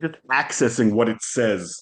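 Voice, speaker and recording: A man whose first language is Hebrew, speaking as a podcast guest in a thoughtful, halting way with frequent restarts. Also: mid-range voice, expressive. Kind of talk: conversational interview.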